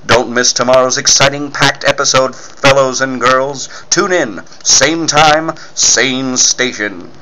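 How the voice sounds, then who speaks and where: Male narrator, bright forceful tone, dramatic phrasing, vintage radio serial announcer style. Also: mid-range voice, slow pace, hardly any pauses, a little hiss or hum, rough recording.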